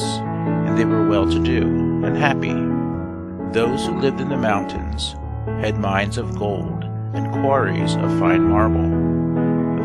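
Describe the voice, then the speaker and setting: Slow, gravelly, low-voiced male storyteller. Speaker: audiobook reader. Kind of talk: scripted storybook narration.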